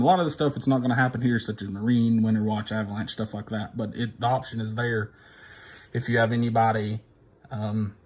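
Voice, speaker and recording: Man, noisy hands-on tutorial. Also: mid-range voice. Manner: unscripted, thinking aloud while pressing buttons. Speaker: instructor demonstrating a device. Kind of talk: informal hands-on tutorial, talking through steps. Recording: noisy recording with device clicks and beeps.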